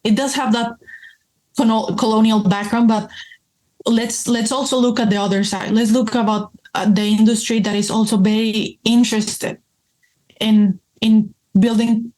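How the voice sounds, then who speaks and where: A woman's voice: a little high, level tone, normal speed, warm tone, rough recording. A woman from Mexico with a Mexican Spanish accent, a podcast guest talking earnestly with frequent ums and restarts. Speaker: coffee business guest. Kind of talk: casual podcast chat.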